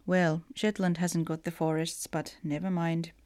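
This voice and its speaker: Female letter reader, Finnish accent, slightly low pitch, dry close recording. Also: flat delivery, measured pace, darkish tone, clear, resonant tone, dry recording. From Finland. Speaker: writer and letter writer. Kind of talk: reading personal letters aloud.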